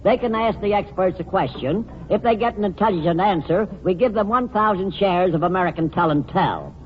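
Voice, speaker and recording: An actor playing a sarcastic, exasperated quiz host in a 1940s radio comedy. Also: male voice, high voice, brisk, lightly acted. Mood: patter. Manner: sarcastic, exasperated, patter with contestants. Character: exasperated, wisecracking male quizmaster. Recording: dull, noisy, hall with audience.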